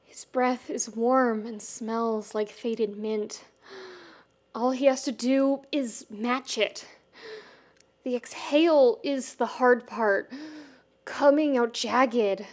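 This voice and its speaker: Slow, higher-voiced woman narrating fiction. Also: fairly even intonation, darkish tone. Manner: emotive, measured with deliberate pauses. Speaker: podfic narrator. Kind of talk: dramatic scripted fiction narration.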